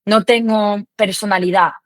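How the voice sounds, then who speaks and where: A woman's voice: medium pitch, fairly even intonation, normal speed, no echo. Chatty woman, filler-heavy speech, dry sound. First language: not Spanish. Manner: chatty, hesitant, many fillers. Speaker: interview guest, Spanish learner. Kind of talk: casual conversational interview.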